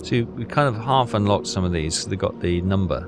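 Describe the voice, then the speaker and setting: A man's voice: low-pitched, expressive, brisk, background noise. A man playing a game, reading notes aloud and muttering to himself as he works things out. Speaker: video game player and narrator. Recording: loud music behind the voice. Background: game music.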